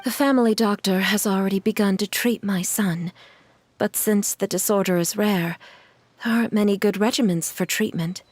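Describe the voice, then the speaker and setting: A woman playing a gentle, genteel mother and employer in a video game, speaking politely and in measured phrases over music. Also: mid-range voice, fairly even intonation, no room sound, natural voice. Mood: wistful, explanatory. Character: refined, gentle, courteous mother and wealthy employer.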